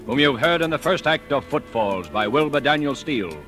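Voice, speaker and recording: A man's voice: mid-range voice, expressive, a little fast. A male announcer introducing a 1940s radio drama in a formal, declamatory manner. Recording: old radio broadcast over loud music.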